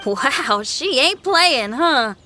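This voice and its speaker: A woman's voice: high voice, expressive, drawn-out words, over loud music, heavily acted. A woman voicing a spirited, easygoing young female musician in a video game, breezy and slangy in manner. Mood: surprised.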